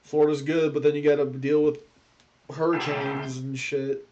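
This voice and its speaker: A man's voice: a little high, little pitch movement, normal speed, a little mellow, dull audio, soft background music. A man hosting a reaction video, giving blunt, joking commentary in casual slang.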